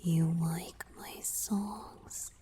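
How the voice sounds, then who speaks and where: A woman voicing a playful, romantic young merman boyfriend in a breathy, teasing ASMR whisper with soft hums. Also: on the low side, fairly even intonation, drawn-out words. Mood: teasing, bashful.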